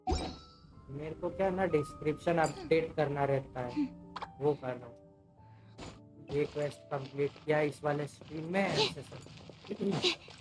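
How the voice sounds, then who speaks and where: Indian-accented female game streamer, low voice, very rapid casual delivery, game music behind. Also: poor audio. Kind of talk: casual livestream commentary.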